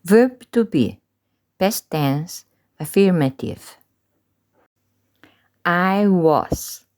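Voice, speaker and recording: A woman leads an English lesson at a crawling, drilled pace, each short phrase set apart. Her pitch sits a little low but swings widely from phrase to phrase, rising sharply on the questions. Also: big pitch swings, a little mellow. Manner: slow, deliberate pronunciation drills with pauses. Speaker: English teacher. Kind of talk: slow drilled language lesson.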